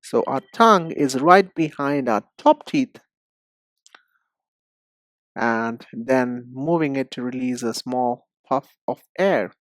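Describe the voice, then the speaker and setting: A male pronunciation teacher with a medium-pitched voice, recorded dry with no room sound. He explains in a deliberate, instructional manner, addressing the learner directly as he gives demonstrations. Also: a bit bright, no echo.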